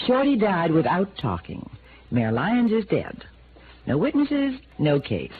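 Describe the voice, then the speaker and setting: A woman's voice: fairly low pitch, wildly swooping pitch, a little slow. A woman acting a smug, scheming widow in a 1950s radio noir drama, brazen and taunting. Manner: taunting, brazen, self-assured. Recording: muffled, faintly noisy old radio recording.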